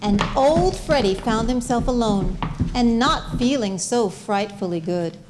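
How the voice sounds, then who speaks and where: High-voiced woman narrating in an echoing room. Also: expressive, unhurried, background noise. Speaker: story narrator. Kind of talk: comic scripted narration, staged reading. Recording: roomy, echoing live recording.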